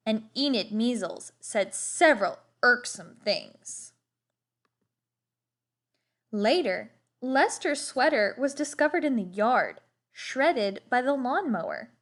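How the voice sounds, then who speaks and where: A woman reading a children's storybook aloud expressively, with deliberate, dramatic emphasis on words. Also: fairly high pitch.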